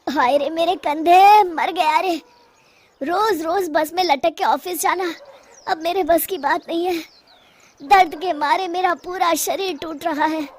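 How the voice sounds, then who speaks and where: A woman's voice: extremely high pitch, a little slow, a little hiss or hum. A woman voicing a put-upon, whining young husband and office worker in a cartoon story.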